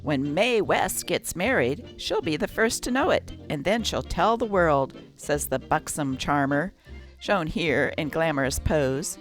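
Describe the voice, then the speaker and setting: A woman's voice: deep voice, lively intonation, unhurried, music in the background. A woman narrating from a script as host, reading an old newspaper aloud.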